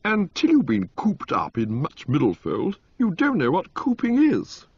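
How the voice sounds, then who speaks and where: A man reading aloud and voicing a giddy, carefree young male aristocrat in a high, chirpy, exclamatory voice. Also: wildly swooping pitch, measured pace, breathy edge, exaggerated voice. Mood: exuberant. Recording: dull lo-fi, dry.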